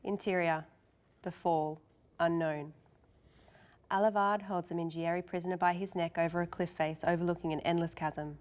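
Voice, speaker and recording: A woman's voice: fairly low pitch, steady tone, moderate pace. A woman reading scene directions as the narrator of a screenplay table read.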